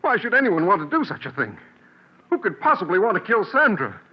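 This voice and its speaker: A husky-voiced, doting father in an old radio melodrama. He is earnest and anxious, his pitch swinging widely, on a muffled 1940s recording. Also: wildly swooping pitch, a little fast, heavily acted. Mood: distraught.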